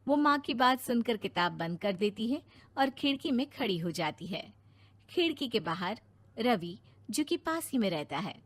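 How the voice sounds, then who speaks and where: A woman's voice: a little high, sing-song, moderate pace, no echo. A woman giving scripted narration of a moral story.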